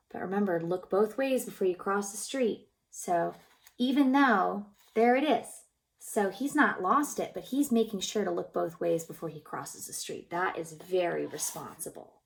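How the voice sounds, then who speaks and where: Creaky-voiced woman reading to young children. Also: medium pitch. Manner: expressive, gently encouraging, prompting the listeners with questions. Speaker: childcare teacher reading a picture book aloud. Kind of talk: read-aloud storytime for young children, narrating pictures and asking questions.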